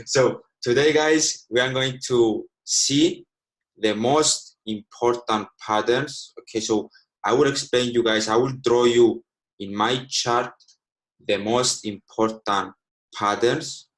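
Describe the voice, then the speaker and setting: A man's voice: mid-range voice, steady tone, takes it slowly, poor audio. Man in his twenties teaching. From Cyprus. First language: Greek. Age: 20s. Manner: fills with frequent "okay", slightly broken English. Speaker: trading educator. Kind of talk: live online teaching. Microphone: video call.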